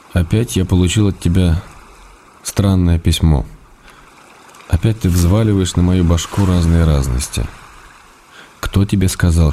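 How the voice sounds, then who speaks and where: An actor playing a weary, exasperated but loving husband, reading his letter with measured, affectionate reproach in a radio play. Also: low voice, background noise. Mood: restrained.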